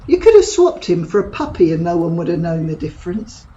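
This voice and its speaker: A woman's voice: fairly low pitch, sing-song, brisk, mellow, lo-fi sound, a little hiss or hum. A woman playing Gabby, a cheeky, provocative middle-aged friend who mocks and prods with blunt humour in an audio drama. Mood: scornful. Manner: teasing, blunt and mocking.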